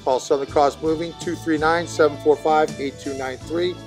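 Man, slightly high voice, steady ad read over music. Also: normal speed. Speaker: advertiser. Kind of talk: read commercial.

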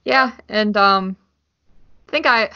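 A woman's voice: medium pitch, takes it slowly, sharp tone. A woman co-hosting a podcast, talking casually about films. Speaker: podcast co-host. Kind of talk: casual film chat. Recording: dull, lo-fi sound.

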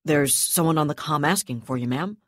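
A woman's voice: deep voice, a little fast, dry recording, clean studio sound. A woman voicing a nervous, deferential male communications tech. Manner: hesitant, deferential.

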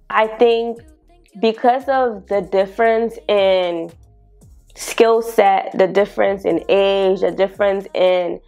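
A young woman in her twenties with a Ghanaian accent, telling a story to camera in a casual vlog, animated and quick to laugh. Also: gravelly. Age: 20s. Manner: animated and expressive, laughing often, exclaiming in sudden high bursts. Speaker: vlogger. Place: small bedroom studio indoors. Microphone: lapel mic.

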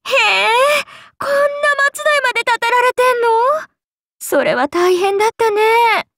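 A woman's voice: very high-pitched, animated, unhurried, creaky, very noisy, exaggerated voice. A woman voicing a breezy, friendly grown serpent-goddess in a game, with chatty, teasing, laid-back slang. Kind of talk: game character dialogue.

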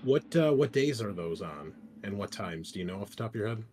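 A man's voice: on the low side, animated, fairly quick, a little mellow. A man hosting a tabletop game in casual chat, joking and swearing freely as game master.

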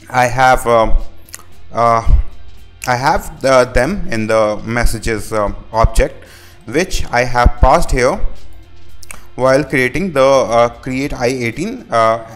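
A man from India whose first language is Hindi, talking through a coding tutorial over his screen and filling his sentences with pauses and restarts. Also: mid-range voice. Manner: halting, many "uh" fillers and restarts. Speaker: web developer.

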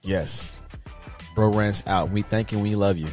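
A man co-hosting a podcast in casual chat, talking in an informal, halting, rambling way. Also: on the low side, fairly even intonation, a little mellow, faint music behind. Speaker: podcast co-host.

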